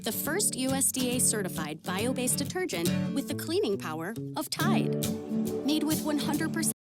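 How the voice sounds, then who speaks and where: Woman announcer, commercial voice-over, high lively pitch, loud music bed. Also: moderate pace. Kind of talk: scripted commercial read.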